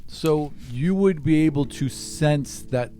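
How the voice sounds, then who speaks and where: A man's voice: fairly high pitch, slow, warm tone. A man acting as game master, mixing casual chat with narration of a roleplaying game.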